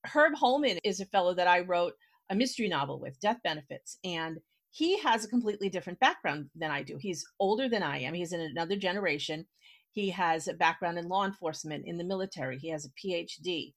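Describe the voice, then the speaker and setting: A woman's voice: fairly low pitch, expressive, fast. A woman hosting a casual interview, talking in a direct, explanatory way and checking in with the listener as she goes. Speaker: podcast host, writer.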